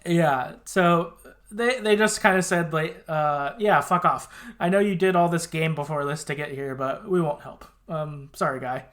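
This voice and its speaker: A man's voice: on the high side, measured pace, fairly bright tone. A man hosting a podcast, chattily recapping a story in informal, sometimes sweary language with loose colloquial asides. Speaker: podcast host. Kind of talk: casual chatty retelling of a game's story.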